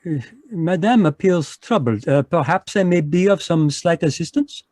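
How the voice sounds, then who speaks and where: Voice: mid-range voice, moderate pace, a little mellow, no echo, lightly acted. A performer voicing a fussy, polite, shrewd Belgian male detective with a Belgian French accent, speaking courtly and hesitant in a live radio drama read over a video call.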